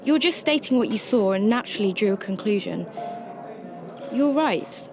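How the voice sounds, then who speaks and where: A woman's voice: mid-range voice, lively intonation, normal speed, close to an everyday voice. A woman acting a troubled, secretive shelter worker who narrates her story in a radio drama, emotive and hesitant in dialogue. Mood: flustered. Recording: roomy sound with music behind.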